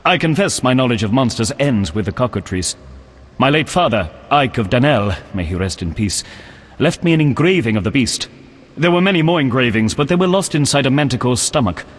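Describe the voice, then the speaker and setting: Male actor for an earnest game knight: slightly husky voice, solemn courtly phrasing with expressive swings, heard in long reverb. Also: mid-range voice, a little fast, lightly acted. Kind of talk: video game character acting.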